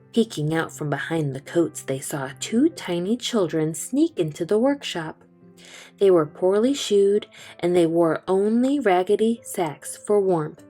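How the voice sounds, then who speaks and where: A woman reading a children's storybook aloud, slow, gentle and expressive. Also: on the low side. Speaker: children's book reader. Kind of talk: storytime read-aloud narration.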